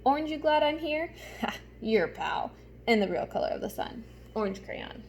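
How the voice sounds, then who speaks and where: A woman's voice: high voice, expressive, normal speed, a little mellow, slight background noise. Woman voicing a smug, swooping orange crayon. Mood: smug, bickering.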